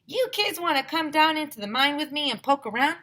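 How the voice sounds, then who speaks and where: An excitable storybook prospector man acted out by a woman reader, wild for gold. The delivery is folksy and hollered, with big swoops in pitch and a bright, forceful edge. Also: brisk. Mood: inviting. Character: excitable gold-crazed male teacher, folksy old-time prospector.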